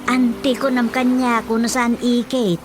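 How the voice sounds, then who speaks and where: A woman's voice: fairly high pitch, flat delivery, measured pace, over music, lightly acted. A woman with an Ilocano accent playing a chatty, teasing middle-aged villager in a radio comedy drama. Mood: amused, grateful.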